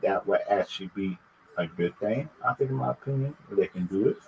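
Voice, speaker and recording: A man's voice: on the low side, vocal fry, darkish tone, a little hiss or hum, poor audio. A man chatting casually and unscripted to viewers as a livestream host, stammering and repeating his phrases as he gives opinions. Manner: halting, repetitive, stammering over phrases.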